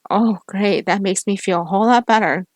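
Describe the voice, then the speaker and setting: A woman's voice: medium pitch, fairly quick, no room sound, studio quality, close to an everyday voice. A woman voicing a friendly, teasing young American man who owns a cafe.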